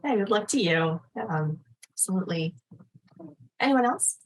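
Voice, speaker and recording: A woman's voice: medium pitch, lively intonation, normal speed, rough recording. A woman hosting a discussion as an editor, speaking in a casual, conversational and encouraging way. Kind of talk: casual moderating of an online literary discussion.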